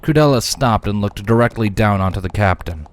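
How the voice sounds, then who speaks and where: Low-pitched man narrating a fantasy story. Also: animated, fairly quick, slight background noise. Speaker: audiobook narrator.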